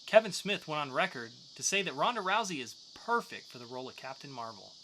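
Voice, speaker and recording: A man's voice: on the high side, very animated, fast, pushed and bright, slight background noise, poor audio. A man co-hosting a podcast, talking through news and reviews in a casual, joking way. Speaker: podcast co-host. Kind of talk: news segment and comics review.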